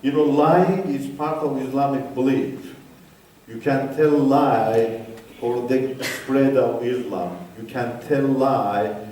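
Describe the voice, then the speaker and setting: A man's voice: mid-range voice, fairly even intonation, mellow, recorded from a distance, slight background noise. Older man, echoey hall. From Iran. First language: Turkish. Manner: emphatic, in short halting phrases. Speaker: guest speaker. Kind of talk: personal testimony talk. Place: church hall.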